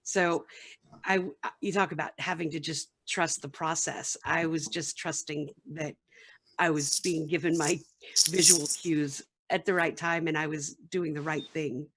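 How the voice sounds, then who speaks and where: A woman's voice: deep voice, steady tone, unhurried, fairly bright tone, husky, poor audio. A woman talking casually as a member of a radio play crew in a panel discussion over a video call. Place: remote group video call.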